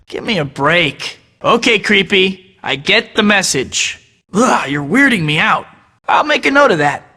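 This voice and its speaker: A man's voice: sits high, sing-song, a little slow, bright, forceful tone, big-room sound, full character voice. An actor voicing a young male superhero who tosses out sarcastic taunts in a video game. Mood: mocking. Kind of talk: game voice clips.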